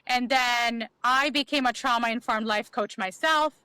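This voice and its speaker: A woman's voice: on the high side, measured pace, bright, forceful tone, smooth, no room sound. A woman, a podcast guest candidly telling her life story in a reflective way with many ums. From Bangladesh. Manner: reflective, frequent um fillers.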